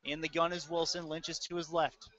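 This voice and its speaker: Male football play-by-play commentator, forward bright tone, clipped and casual, slightly muffled webcast sound. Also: fairly high pitch, level tone, brisk. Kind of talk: live American football commentary. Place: indoor audio-only webcast. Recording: dull lo-fi audio.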